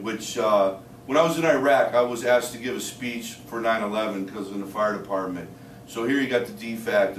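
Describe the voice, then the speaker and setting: A man in his thirties gives a classroom speech, speaking plainly and filling pauses with "uh" before rushing ahead. He is heard from across a small, roomy space. A faint hiss sits under the voice. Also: mid-range voice. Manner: plain-spoken, many "uh" fillers, rushes in spurts. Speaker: student giving a class speech. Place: small room with a few listeners. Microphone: camera across the room. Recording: echoey room from far off.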